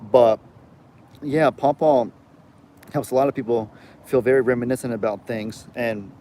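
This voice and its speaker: A man's voice: mid-range voice, steady tone, normal speed, mellow. A young man with a light Southern US accent, hosting a casual live chat and rambling as he reads viewer comments aloud. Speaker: livestream host.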